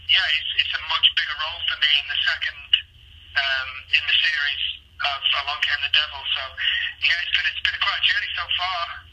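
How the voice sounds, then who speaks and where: A man with a Mancunian accent from Manchester, an actor answering interview questions in a halting way, full of ums and restarts. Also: fairly high pitch, bright, forceful tone, breathy edge, poor audio. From Manchester, England. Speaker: actor guest.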